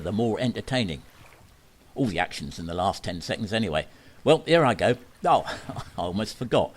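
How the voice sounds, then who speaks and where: An actor playing a pushy man who sells staged drownings, with melodramatic salesman patter, in an amateur radio play. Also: on the low side. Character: pushy male con man who stages his own drownings for money.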